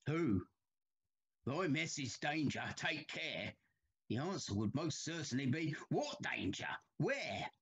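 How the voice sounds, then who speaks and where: An actor playing an anxious, haunted older railway signalman in a Victorian ghost story, troubled and halting, with formal old-fashioned speech. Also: on the high side, very animated, fairly bright tone, slightly rough, heavily acted. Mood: despairing, pleading.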